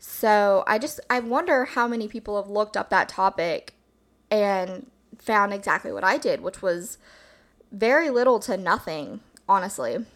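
A woman hosting a solo podcast in a casual, reflective, rambling way, with a slightly hoarse just-woke-up voice. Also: medium pitch, animated, a little slow, a bit bright. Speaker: podcast host.

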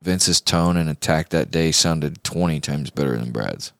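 An American man with a deep voice hosts a casual music podcast. He talks in a laid-back, slangy way, and the sound is dry with very little room on it. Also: measured pace, creaky, no echo. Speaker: podcast host.

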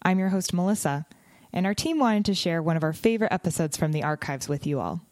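A woman's voice: fairly low pitch, animated, fast talker, a little mellow, no echo, clean studio sound. A young adult woman hosting a travel podcast, chatting casually and asking her guest questions. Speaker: podcast host.

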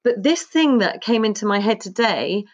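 A talkative woman in her fifties tells her story as a podcast guest, rambling warmly from one thought to the next with the odd laugh. Her voice sits at a middling pitch. The recording sounds a little dull and muffled. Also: expressive, moderate pace. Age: 50s. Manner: chatty, rambling, laughs often. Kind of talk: casual interview chat.

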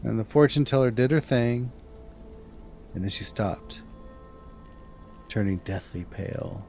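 A man's voice: medium pitch, measured pace, gravelly, darkish tone, faint music behind. A man co-hosting a storytelling podcast in an informal, campfire style with a theatrical touch.

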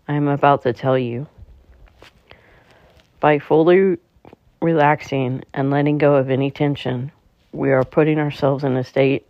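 A meditation guide speaking in a calm, slightly halting way, leading a slow guided relaxation. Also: medium pitch, no echo. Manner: calm, halting delivery with stumbles. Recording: dull, muffled lo-fi recording.

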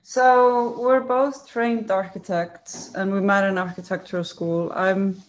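A woman from Iceland with an Icelandic accent, a design agency co-founder telling her story in an interview, relaxed and full of fillers and self-corrections. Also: medium pitch, unhurried, low-quality recording. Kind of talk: conversational interview answers.

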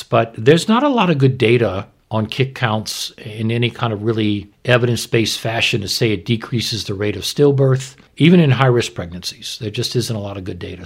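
A man's voice: fairly low pitch, slightly rough. A man speaking as a doctor, explaining medical practice in an informal, plain-spoken, instructive way. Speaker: obstetrician, expert guest.

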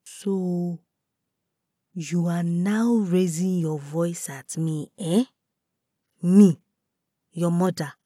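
A woman voicing a domineering older mother-in-law, loud, scornful and commanding. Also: fairly low pitch, fairly even intonation, drawn-out words, pauses often, no echo, studio quality. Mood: indignant.

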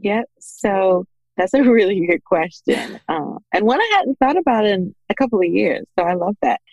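A woman in her thirties from the Bahamas, telling personal stories conversationally as an interview guest, animated when she quotes people. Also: mid-range voice, moderate pace, creaky, no room sound, muffled recording. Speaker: podcast guest, small business owner.

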